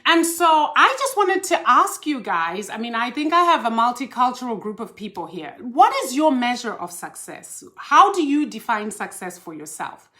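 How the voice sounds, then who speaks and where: A woman's voice: fairly high pitch, sing-song, moderate pace, few pauses, bright, forceful tone. A woman from Zimbabwe, a life coach hosting a casual live stream and chatting animatedly with her viewers, laughing easily. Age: older.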